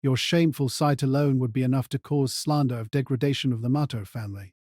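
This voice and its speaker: A man playing a composed aristocratic mage in an audio drama, measured, indifferent and condescending. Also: medium pitch, darkish tone, no echo, studio quality, lightly acted. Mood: cold taunting.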